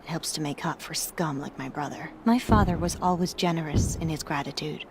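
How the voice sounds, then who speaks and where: A woman's voice: low voice, fairly quick, a little hiss or hum, lightly acted. An actress playing an earnest, wronged woman in a video game, with a heartfelt, emotional delivery. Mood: grateful, sorrowful. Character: earnest, wronged woman, bereaved daughter and sister.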